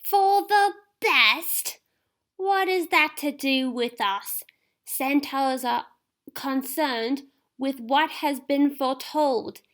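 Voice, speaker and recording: A proud, furious female centaur voiced by a woman thunders her words out high and slow. Each line is stretched out and full of scorn, as a storybook character. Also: a bit bright. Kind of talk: storybook reading.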